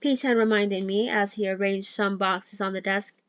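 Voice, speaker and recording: A woman's voice: medium pitch, steady tone, normal speed, no room sound. Chatty woman reading aloud, dull dry mic. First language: not English. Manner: stumbles over words and restarts, chatty. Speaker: channel host reading a novel aloud. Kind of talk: casual read-along with chatty asides.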